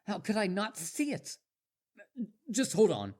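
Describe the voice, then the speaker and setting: Casting: obsessive young wolf boy suitor played by a performer for audio roleplay; very lively intonation, eager, stammering, over-devoted. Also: mid-range voice, a little slow. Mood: indignant, determined. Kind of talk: roleplay audio drama monologue to listener.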